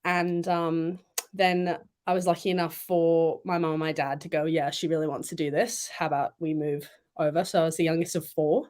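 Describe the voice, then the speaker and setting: A young woman from Thailand and Malaysia talks casually as a guest, her voice sitting fairly low for a woman. She rambles easily, with plenty of "like" and "um" between her thoughts. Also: normal speed. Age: young adult. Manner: chatty, relaxed, many fillers and "like"s. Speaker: guest swimmer. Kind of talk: casual interview chat.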